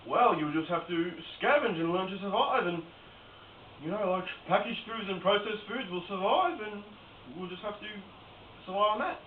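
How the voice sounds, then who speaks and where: A man plays a blunt, offhand mock doomsday doctor with a fairly high voice. The homemade comedy recording is echoey and phone-thin. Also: lively intonation, normal speed. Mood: matter-of-fact. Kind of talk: amateur mock lecture and Q&A. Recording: roomy, distant, tinny, hissy home recording.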